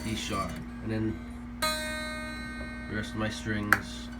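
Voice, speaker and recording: A man casually explaining how a guitar detuner works at his workbench, relaxed and offhand, with fillers. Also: on the low side, extremely slow, low-quality recording. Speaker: guitarist demonstrating gear. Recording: guitar playing under the voice.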